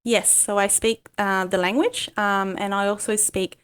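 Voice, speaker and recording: Halting, reflective woman. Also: mid-range voice, steady tone, fairly bright tone, clear, resonant tone. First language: Cantonese. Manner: halting, many pauses and ums, reflective. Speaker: guest, life coach. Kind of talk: candid personal interview.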